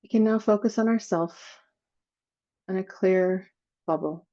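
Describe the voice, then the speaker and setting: A woman leading a guided meditation in a slow, soothing manner, with long pauses between phrases. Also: mid-range voice, dark tone, muffled recording.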